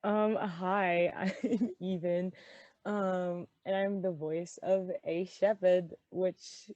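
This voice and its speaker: A woman's voice: fairly low pitch, fairly even intonation, very slow, darkish tone, dry recording, lo-fi sound. A woman voice actor chatting casually in a wry, playful way. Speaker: podcast voice actor. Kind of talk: casual group chat.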